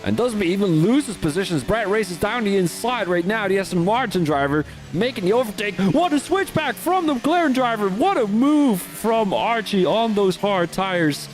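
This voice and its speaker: A man's voice: high-pitched, expressive, moderate pace, hardly any pauses, faint music behind. A young Dutch man with a Dutch accent, commentating a sim racing league in a chatty, rambling way with many restarts. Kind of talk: live race commentary mixed with casual chat.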